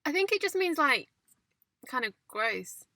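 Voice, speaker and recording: A woman's voice: high voice, big pitch swings, measured pace, sharp tone, no room sound. A woman from England co-hosting a casual chat in a parked car, giggly and chatty. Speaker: podcast co-host.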